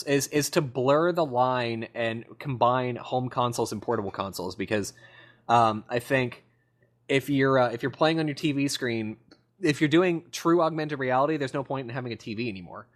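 A man's voice: medium pitch, normal speed. A man co-hosting a podcast, talking through technology in a casual, analytical way. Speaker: podcast co-host.

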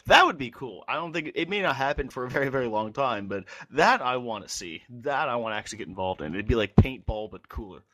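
A man's voice: medium pitch, expressive, fast talker, hardly any pauses, bright, forceful tone, no echo. A man co-hosting a casual gaming podcast, talking eagerly about what he wants to see next. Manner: eager, enthusiastic wishing aloud. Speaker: podcast co-host.

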